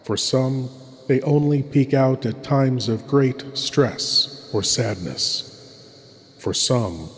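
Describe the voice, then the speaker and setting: A man puts on a sinister, intimate horror host. He speaks slowly in a medium-pitched male voice, with theatrical and portentous pauses. Long reverb surrounds the scripted introduction. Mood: brooding.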